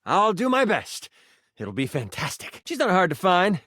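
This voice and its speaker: An eager living die from a video game speaks in a high, very animated voice. He is a playful, earnest game master who slips into grand fantasy storytelling. The sound is close and dry. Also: moderate pace, dry recording, exaggerated voice. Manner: expressive, playful, shifting into grand storyteller phrasing. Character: excitable, earnest twenty-sided die come to life, eager game master. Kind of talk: theatrical fantasy game narration.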